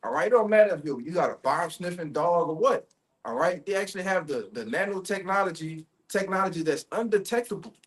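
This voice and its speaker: Male, fairly high voice, impromptu street preaching, scripture commentary, frequent check-in tags. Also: animated, brisk. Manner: repeatedly checks in with "all right?" and "you see?".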